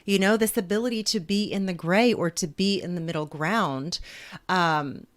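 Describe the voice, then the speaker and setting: A woman in her forties, an author talking as a guest in a thoughtful, measured way and laughing easily. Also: lowish voice. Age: 40s. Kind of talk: reflective interview conversation.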